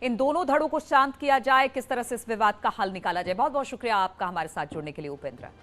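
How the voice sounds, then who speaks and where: A woman anchoring a television news bulletin in a high-pitched voice. She reads formally and emphatically, repeating the headline points. A clear music bed plays under her voice. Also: lively intonation, fast talker, a bit bright. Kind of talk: broadcast news reading.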